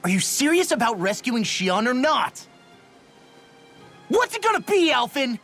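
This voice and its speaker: An actor playing a hot-headed, loyal young fighter in a video game, blunt and slangy. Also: male voice, very high-pitched, sing-song, a little slow, fairly bright tone, soft background music, heavily acted. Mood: fired up. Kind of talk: dramatic video game voice acting.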